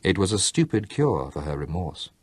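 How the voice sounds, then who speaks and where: A man narrates a literary novel in a measured storytelling manner, slowing for dramatic effect. His voice sits low and sounds husky, recorded close and dry with no room sound. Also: big pitch swings, no echo. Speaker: audiobook narrator.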